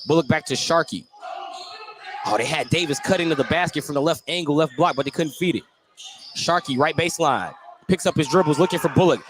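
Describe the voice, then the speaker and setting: A man's voice: a little high, brisk. A man working as a radio play-by-play announcer, describing a live basketball game in clipped, running phrases. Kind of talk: live radio sports commentary. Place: indoor basketball gym. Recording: very noisy live gym broadcast.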